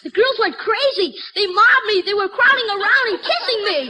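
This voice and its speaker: Casting: breathless teenage son, extremely high voice, forties radio comedy, loud audience. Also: male voice, fairly quick, a crowd in the background. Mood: breathless, excited. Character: excitable, breathless, girl-mobbed teenage son. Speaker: young radio comedy actor. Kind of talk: 1940s radio sitcom acting.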